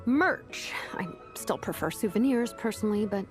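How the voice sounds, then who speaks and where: A woman voicing a dry, practical young craftswoman in a video game, talking in a wry, deadpan way. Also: mid-range voice, normal speed, soft background music, close to an everyday voice. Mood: fond.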